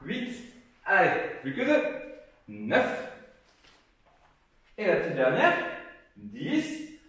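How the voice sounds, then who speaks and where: Lively young man coaching, echoey studio. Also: sits high, extremely slow. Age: young adult. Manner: counts reps aloud, lively encouraging coaching. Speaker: fitness coach. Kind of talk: guided workout instruction. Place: gym studio, indoors. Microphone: far camera. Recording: very echoey room, voice from far off.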